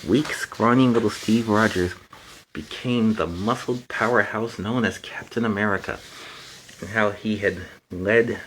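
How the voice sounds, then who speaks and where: A man's voice: lowish voice, measured pace, a bit bright, slight background noise, rough recording. A man in a superhero persona reading a children's storybook aloud, with chatty, playful asides between the passages.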